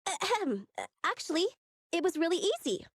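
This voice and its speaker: A sly, self-assured young boss lady from an anime-style game. Her voice is high and dry, breezy and boastful, with a bossy edge. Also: sing-song, normal speed, no echo. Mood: smug, confident.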